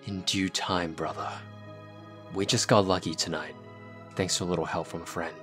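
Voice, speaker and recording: A man's voice: deep voice, fairly even intonation, moderate pace, over music, lightly acted. A man playing a grieving, defiant young werewolf heir in an earnest, intense roleplay drama. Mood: grave, wary.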